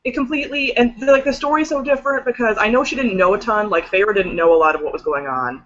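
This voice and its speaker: A woman's voice: fairly high pitch, fast, dull audio. A woman chatting casually and emphatically in a book discussion, swearing freely and leaning on "like". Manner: emphatic, swearing, filler-heavy with "like".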